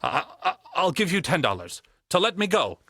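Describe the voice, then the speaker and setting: Audio drama tycoon: arrogant, agitated, high forceful voice that stammers under pressure. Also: male voice, sing-song, moderate pace, slightly rough, no room sound, clean studio sound, full character voice. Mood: blustering, bargaining. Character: arrogant, impatient wealthy businessman, panicking and desperate. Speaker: actor. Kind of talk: audio play acting.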